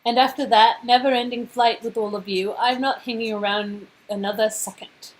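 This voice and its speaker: A woman's voice: on the high side, fairly even intonation, normal speed, pitched below the performer's own voice, close to an everyday voice, poor audio. A woman voicing a cool, blunt, self-assured young woman in a game dialogue reading, with a dry delivery. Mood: blasé.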